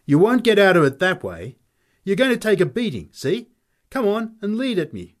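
A man's voice: lowish voice, steady tone, unhurried, husky. A man voicing a belligerent, punch-drunk little former boxing champion in a story reading, aggressive and taunting as he swears. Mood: menacing, taunting.

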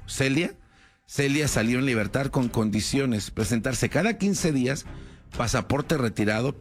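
A man telling a true crime story in a casual, conversational way, with many fillers and tag questions. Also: mid-range voice.

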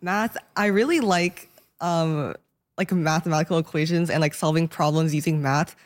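A young woman just out of high school, speaking softly with light fillers as a radio interview guest. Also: normal speed. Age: young adult. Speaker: scholarship-winning high school graduate.

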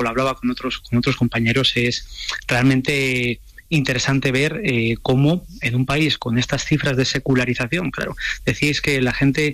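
Man, Spain accent, mid-pitched voice, sociologist on radio, measured academic explanations, dry close sound. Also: fairly bright tone, dry recording. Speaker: sociologist, university professor, guest expert. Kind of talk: expert analysis in a radio interview.

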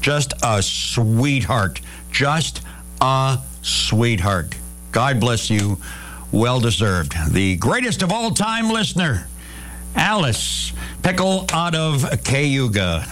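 A man hosting a morning radio show with a lively, singsong delivery. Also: medium pitch, takes it slowly. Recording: radio broadcast with music underneath.

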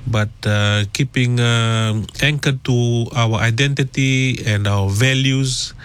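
Slow, hesitant man. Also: medium pitch, fairly even intonation, clean tone. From Fiji. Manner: hesitant, many fillers and restarts. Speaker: guest author. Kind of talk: radio interview.

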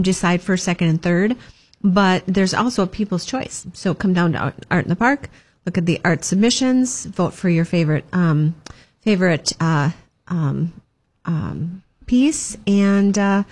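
A woman's voice: lowish voice, unhurried, a little mellow. A woman from the chamber of commerce giving upbeat community announcements, with plenty of ums. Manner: upbeat, filler-heavy, promotional. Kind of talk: community announcements in casual chat.

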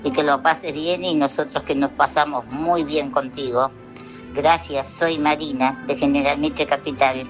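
A woman's voice: sits low, fairly even intonation, measured pace. Argentine lady leaving a sweet msg for the host, music in the background. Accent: Argentine Spanish. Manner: affectionate, fan-like. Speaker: listener sending a message.

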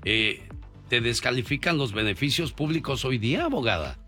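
A man's voice: medium pitch, lively intonation, moderate pace, pushed and bright, soft background music. A man hosting a radio interview in a colloquial, folksy way. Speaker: radio host. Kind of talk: lively conversational radio interviewing.

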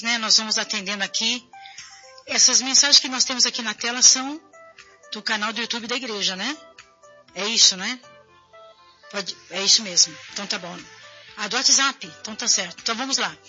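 Woman, Brazilian accent, hoarse, bright piercing tone, chatty TV host, faint music behind. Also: mid-range voice, muffled recording. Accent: Brazilian Portuguese. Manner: chatty, addresses viewers directly. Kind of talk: casual talk show, reading viewer messages.

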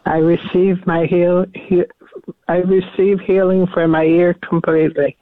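A woman calling into a radio show, repeating prayers slowly and answering briefly. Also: fairly low pitch, flat delivery, no room sound. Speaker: caller. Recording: tinny dry phone line.

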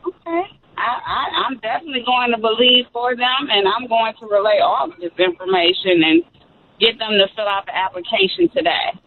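A woman's voice: on the high side, lively intonation, fairly quick. A woman calling in to a radio show, answering politely in short, agreeable replies. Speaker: caller. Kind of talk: phone call into a radio show. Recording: tinny phone line.

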